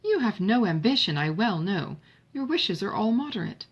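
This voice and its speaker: A woman's voice: medium pitch, big pitch swings, moderate pace, breathy edge, dull audio. A woman voicing an affectionate, teasing middle-aged mother in a novel, questioning in formal language.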